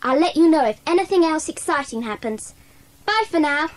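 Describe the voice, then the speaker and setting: A woman's voice: sits high, expressive, normal speed, noisy background. A woman acting an earnest, eager child member of a secret club in a children's audio drama. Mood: friendly.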